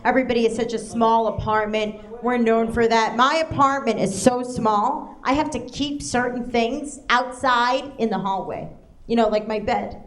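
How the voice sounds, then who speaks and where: A woman's voice: fairly high pitch, steady tone, normal speed. A woman performing a stand-up comedy set, chatty and often checking in with the audience. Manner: chatty, asks the crowd "right?".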